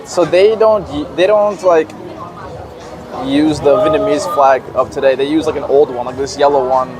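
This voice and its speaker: A man from Virginia in the USA hosting a casual interview and asking questions in a relaxed, curious way. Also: a little high, normal speed. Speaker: interview host. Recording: outdoor crowd chatter behind.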